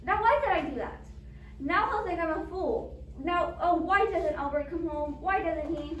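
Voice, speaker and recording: A woman's voice: very high voice, expressive, normal speed. A woman playing an anxious, demanding bedridden wife in a suspense play, stammering and insistent. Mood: frantic, exasperated. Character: anxious, demanding bedridden invalid wife, fretful and self-absorbed. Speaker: amateur drama club actor. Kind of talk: stage adaptation of a radio suspense play. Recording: roomy echo, distant mic, background noise.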